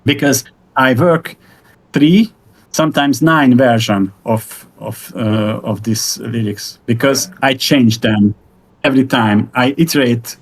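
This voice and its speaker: A middle-aged man with a Hungarian accent, a native Hungarian speaker, talking haltingly in English on a video call and searching for words with many fillers. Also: mid-range voice, slightly rough. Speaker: guest musician. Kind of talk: casual conversation.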